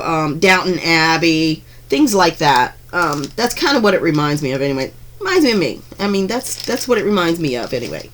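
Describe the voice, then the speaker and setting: A woman chatting through a craft unboxing in her home craft room, gushing over each piece with frequent ums and restarts, her voice a little hoarse from being under the weather. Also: lowish voice, fairly bright tone, a little hiss or hum. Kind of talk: casual unboxing narration.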